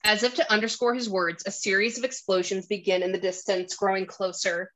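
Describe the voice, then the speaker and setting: A woman's voice: medium pitch, little pitch movement, a little fast, a bit bright, poor audio. A woman narrating a screenplay, reading out stage directions and scene descriptions.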